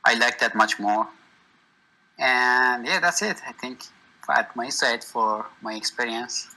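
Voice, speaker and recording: A man from Iran, a software engineer talking casually on a video call, friendly and pausing as he lists things. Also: medium pitch, rough recording. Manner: friendly, lists tech with short pauses. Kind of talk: casual networking chat.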